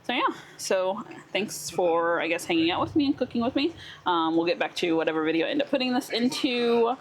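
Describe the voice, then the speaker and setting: A woman's voice: medium pitch, lively intonation, fairly quick. A young woman from California chatting casually with many ums while she cooks in her home kitchen. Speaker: homestead vlogger. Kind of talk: casual cooking vlog chat.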